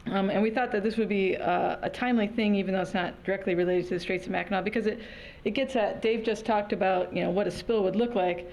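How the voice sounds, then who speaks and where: A woman moderating an event, introducing the next speaker in a halting way with many fillers. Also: mid-range voice, steady tone, darkish tone, poor audio.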